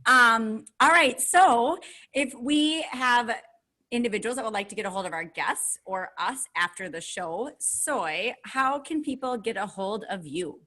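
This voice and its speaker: Brief: woman, 40s, co-host, bright and chatty, upbeat. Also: on the high side, lively intonation, a little slow. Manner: chatty and upbeat, self-teasing. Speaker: podcast co-host. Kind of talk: casual podcast chat.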